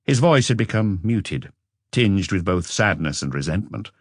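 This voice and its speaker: A man's voice: deep voice, animated, measured pace, no echo, clean studio sound. A man giving scripted narration of a mystery novel as an audiobook narrator.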